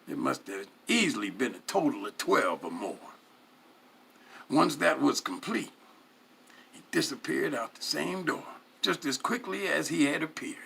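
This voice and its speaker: A man reads a first-person story aloud with dramatic flair. His voice is husky and forceful, with a gravelly creak at a middling pitch. He swells on key phrases and drops low on others, keeping the delivery animated and expressive. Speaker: story reader.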